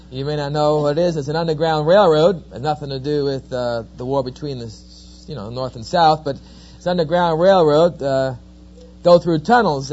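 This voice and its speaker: Lively New Jersey man, dull lo-fi. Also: fairly high pitch. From New Jersey, USA. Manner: folksy, joking, lively storyteller. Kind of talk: sermon-style storytelling talk. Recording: dull lo-fi recording.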